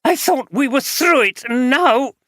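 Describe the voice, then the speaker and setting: A reader voicing Christine, an angry, slightly slurring middle-aged wife, in an audiobook. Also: female voice, high voice, animated, unhurried, a bit bright, no room sound, studio quality, pitched above the performer's own voice, exaggerated voice. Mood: bitter, furious. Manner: bitter, contemptuous, slurring slightly. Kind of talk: audiobook character reading.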